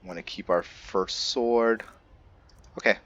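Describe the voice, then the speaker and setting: A man with a fairly deep voice talks through his game at a very unhurried pace. He is laid-back and casual, musing aloud as a let's-play host does. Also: steady tone, fairly bright tone, lo-fi sound. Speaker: host of a video game roleplay series.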